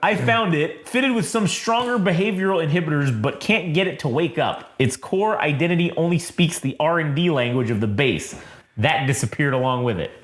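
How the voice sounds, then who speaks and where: A man reads the part of a brash, talkative woman rogue from a sci-fi comic. The delivery is lively and sardonic with nervy bravado, fairly high and in an echoey room. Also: normal speed. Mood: matter-of-fact pitching.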